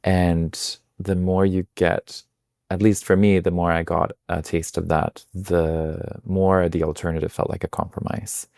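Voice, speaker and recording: A man's voice: low-pitched, level tone, measured pace, creaky, darkish tone, no room sound, clean studio sound. A man, answering interview questions in a thoughtful, reflective way. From Denmark. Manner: thoughtful, reflective, with small restarts. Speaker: guest, magazine founder.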